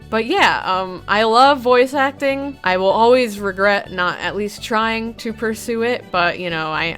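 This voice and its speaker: Chill, bright-voiced woman vlogger. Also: medium pitch. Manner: chill, somewhat monotone. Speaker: competitive gamer and content creator. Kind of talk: casual vlog.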